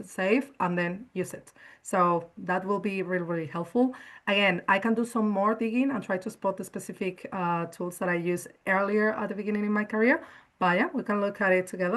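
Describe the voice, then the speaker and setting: Chatty Spanish-accented woman on a video call. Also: mid-range voice. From Spain. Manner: chatty, enthusiastic, repeats "right?". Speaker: cybersecurity analyst guest. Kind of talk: online panel Q&A answers.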